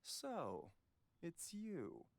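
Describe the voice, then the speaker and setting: Game role: courteous male apothecary, mid-to-light voice, very expressive melody, gently sly. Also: fairly high pitch, drawn-out words, crowd noise, full character voice. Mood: amiable. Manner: smooth-mannered, polite, musing. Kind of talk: video game dialogue.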